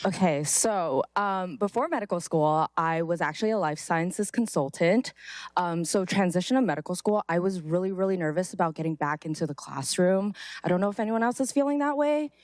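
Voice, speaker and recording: Lowish dry-voiced young woman. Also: expressive, fairly quick, a little mellow, no room sound. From Malaysia. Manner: friendly, many "um"s, direct to audience. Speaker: first-year medical student panelist. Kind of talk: casual panel talk.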